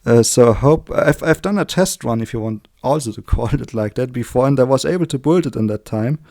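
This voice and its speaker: A man with a German accent, a native German speaker, giving an informal hands-on electronics tutorial in a chatty, halting way, correcting himself as he works. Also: medium pitch, lively intonation, a little mellow. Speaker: electronics hobbyist demonstrating a circuit build. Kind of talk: informal hands-on tutorial, explaining while working.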